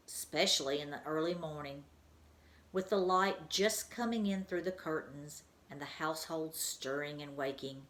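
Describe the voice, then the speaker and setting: A woman reading a children's book aloud as a teacher, with an expressive storytelling manner, in an echoey room. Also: low-pitched.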